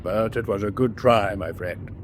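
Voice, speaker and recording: An actor playing a coolly persuasive, ruthless adviser aboard a U-boat in a radio drama, formal and insinuating. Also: male voice, lowish voice, normal speed, slight background noise, close to an everyday voice.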